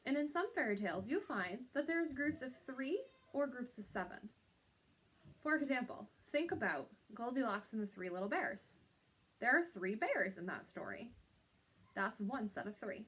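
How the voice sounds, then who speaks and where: A woman with a somewhat high voice speaks as a teacher to young pupils. She explains in an instructional way, point by point, and often adds short tag questions to check understanding. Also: animated, moderate pace, fairly bright tone, breathy edge.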